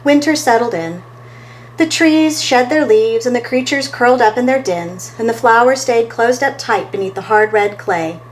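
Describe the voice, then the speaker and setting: A woman's voice: on the high side, normal speed, a little hiss or hum, low-quality recording. A woman reading a picture book aloud as a storytime host, expressively and talking to her listeners between pages.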